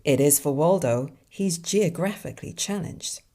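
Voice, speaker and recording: A woman voicing a wisecracking male deer detective in a film noir drawl, delivering deadpan puns. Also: low-pitched, moderate pace. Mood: hardboiled deadpan.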